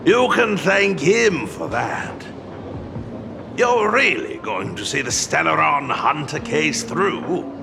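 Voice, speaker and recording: A man voicing a world-weary detective in a video game, with a dry, deliberate way of talking. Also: a little high, very animated, creaky, background noise, full character voice. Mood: wry.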